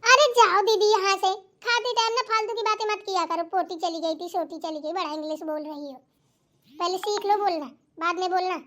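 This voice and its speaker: Woman acting squeaky, sulky young daughter-in-law. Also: brisk, fairly bright tone. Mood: dismissive, mocking. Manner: grumbling, sarcastic, argumentative. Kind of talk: family comedy skit acting.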